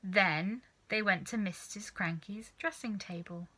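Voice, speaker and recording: A woman narrating a children's storybook in an animated reading style. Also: mid-range voice, unhurried. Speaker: storybook reader.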